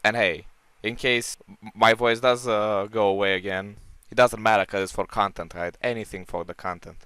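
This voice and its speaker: A man with a hoarse, strained voice giving casual, unscripted commentary as he ranks books, rambling and opinionated with many restarts. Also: lowish voice, fairly even intonation, normal speed, pushed and bright, dry recording. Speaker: book-ranking video creator.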